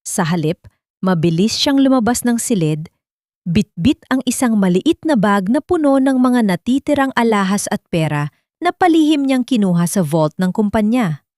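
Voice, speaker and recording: A woman's voice: medium pitch, lively intonation, moderate pace, darkish tone, no room sound, clean studio sound. A woman with a Filipino accent reading a dramatic scripted story as narrator.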